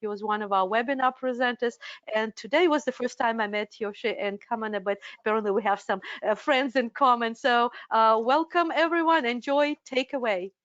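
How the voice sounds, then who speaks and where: Woman, webinar host, halting delivery, smooth resonant voice, dead-dry lo-fi audio. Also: a little high, fairly bright tone, no room sound. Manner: hesitant, many filler pauses. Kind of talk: welcoming introductions on a video call. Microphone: video call. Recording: dull lo-fi video call audio.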